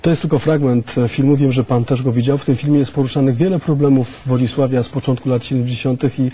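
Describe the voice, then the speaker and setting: Husky, mellow male TV interviewer. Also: medium pitch, fairly even intonation, fast talker. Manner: hesitant, many fillers and restarts. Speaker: TV host. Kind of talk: studio interview.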